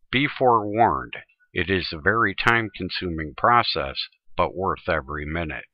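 A man's voice: sits low, no room sound, dull audio. A man presenting a tutorial in scripted, instructional narration.

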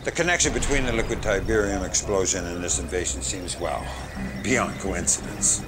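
An actor playing a grave, blunt senior military leader in a game cutscene, speaking emphatically and deliberately. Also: male voice, sits low, over loud music, natural voice. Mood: grave, urgent. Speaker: military commander. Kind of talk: dramatic game cutscene acting.

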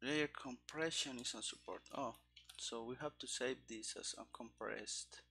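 A man narrates his live coding in a bright, creaky voice. He takes it slowly and haltingly, often muttering bits to himself as he works through each step. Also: mid-range voice. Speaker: game developer streaming his coding. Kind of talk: live coding commentary, thinking aloud. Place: small room indoors. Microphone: headset.

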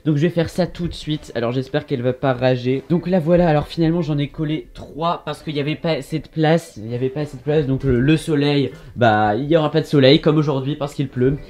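A man's voice: a little high, fairly quick, hardly any pauses, darkish tone. A young man vlogging at home as a big brother, teasing and laughing often in a playful way, recorded on the camera mic. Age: young adult. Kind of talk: playful family vlog. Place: indoors, bedroom and kitchen of a home.

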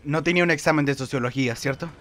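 A man plays an awkward teenage boy in a dubbed drama. His voice sits a little high for a male. He is sullen and reluctant, with a Latin American accent and a grumbling delivery. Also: racing, a bit bright, slight background noise, lightly acted. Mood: grumpy. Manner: grumpy, reluctant. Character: sullen, awkward, foul-mouthed sixteen-year-old boy. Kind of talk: amateur fandub acting.

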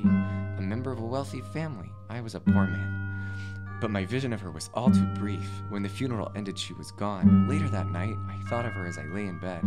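A man's voice: lowish voice, lightly acted. A man playing a cautious, anxious teenage brother in an audio drama.

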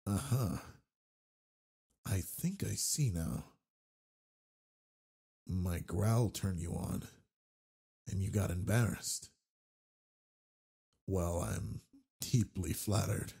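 A man playing a flirtatious, confident werewolf alpha with a husky, gravelly voice in an intimate roleplay audio drama, teasing and tender toward the listener. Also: fairly low pitch, dark tone, exaggerated voice.

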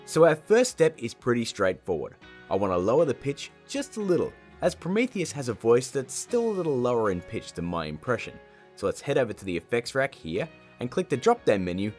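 A man's voice: medium pitch, sing-song, brisk, faint music behind. A man in his thirties hosting a casual video tutorial, playful, chatty and informal in his delivery. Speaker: tutorial host.

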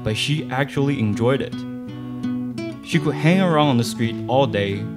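A man's voice: medium pitch, animated, normal speed. A young man reading scripted narration for a student radio play into a studio microphone. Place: small studio, indoors. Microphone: boom stand microphone, close. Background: guitar music.

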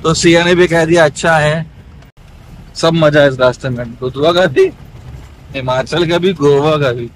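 Guy vlogging from a jeep on a rough road, kinda high voice, chatty and excited, bit of engine noise. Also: measured pace, pauses often, rough recording. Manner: chatty, excited, joking with companions. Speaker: travel vlogger. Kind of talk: casual travel vlog narration. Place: outdoors and in a jeep on a bumpy road.

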